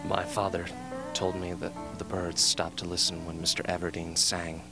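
A man playing a low, monotone young man. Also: moderate pace, music in the background. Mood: wistful, subdued. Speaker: actor in a radio play. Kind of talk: radio drama acting.

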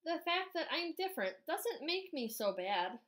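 A woman's voice: sits high, big pitch swings, measured pace, a bit bright, pitched above the performer's own voice, full character voice. A woman voicing a cheerful, earnest young scribble drawing in a storybook, eager and pleading to play. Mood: indignant, hopeful.

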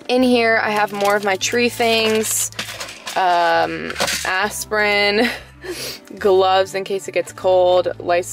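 A young woman chats brightly as she walks through her car's features in a casual vlog. She sounds close to a handheld phone inside a parked car, with faint music playing softly underneath. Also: medium pitch. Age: young adult. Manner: chatty, bubbly, giggly. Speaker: vlogger. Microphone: handheld phone camera.

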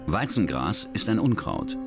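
A man tells a crime story in a very deep, lively voice. He narrates in a measured, dramatic way. Music plays clearly beneath him. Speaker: documentary narrator. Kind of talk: scripted narration.

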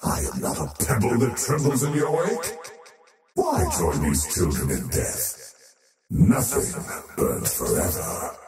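Casting: faceless cosmic usurper, game villain; menacing, slow, wildly swooping delivery. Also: male voice, sits low, huge pitch swings, heavily acted. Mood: cold contempt. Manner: haughty, menacing, slow grandiose declamation. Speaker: video game character voice. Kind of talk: theatrical game villain voice lines. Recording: heavily echoing, distant-sounding, over music. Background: music.

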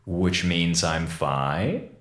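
An actor playing a wisecracking, theatrical communications officer on a space station in an audio drama, sarcastic and slangy. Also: male voice, sits low, drawn-out words, fairly bright tone, echoey room, exaggerated voice. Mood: flippant, casual.

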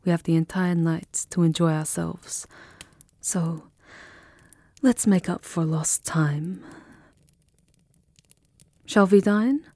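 A woman playing a tender, lovesick vampire countess in an intimate ASMR roleplay, speaking softly and often dropping into a whisper, with formal, wistful endearments. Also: lowish voice, slow pace, long pauses, no echo. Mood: seductive. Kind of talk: ASMR roleplay, soft intimate romantic acting. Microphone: close microphone, dry. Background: music.

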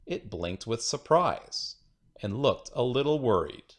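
A man reading a children's picture book aloud in an expressive storytelling manner. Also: medium pitch, slow. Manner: expressive storytelling with acted dialogue. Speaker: storybook reader.

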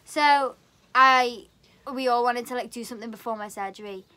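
A young woman chats away loudly, barely pausing for breath. Her voice sits high and bright, close to the camera in a small bedroom. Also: clear, resonant tone. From Merseyside, England. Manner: loud, chatty, talks a lot. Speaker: vlogger, dancer. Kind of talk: casual group chat. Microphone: camera close in front.

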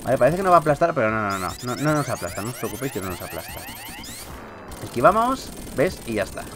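A man's voice: medium pitch, very animated, fast talker. A man with a Peninsular Spanish accent doing casual, joking, mildly sweary Let's Play commentary as a gaming video host. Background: video game music.

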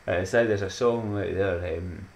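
Low-voiced Scottish man vlogging. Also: unhurried, gravelly, darkish tone, a little hiss or hum. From Scotland. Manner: rambling, upbeat, laughs at own jokes, motivational sayings. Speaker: YouTuber. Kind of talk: casual chatty vlog outro. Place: small dim room at home.

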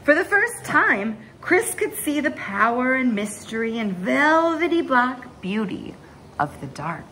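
A young woman reads a children's storybook at an unhurried pace. Her high voice swings widely and dramatically, with drawn-out words and hushed asides. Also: big pitch swings, a little hiss or hum, poor audio. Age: young adult. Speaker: story time host reading a picture book aloud. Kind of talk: expressive children's storybook read-aloud. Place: small bright room indoors at home.

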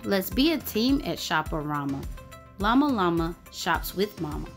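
A woman reading a rhyming picture book aloud for children in an expressive, sing-song way. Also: lowish voice, normal speed. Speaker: children's story reader. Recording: music bed under the voice. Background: background music.

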